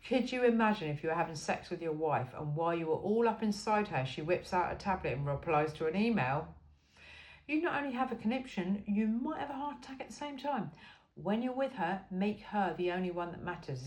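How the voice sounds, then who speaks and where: A woman's voice: lowish voice, a little mellow. A woman reading an informal self-help book aloud in an emphatic, cheeky and frank way. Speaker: amateur reader of a book aloud.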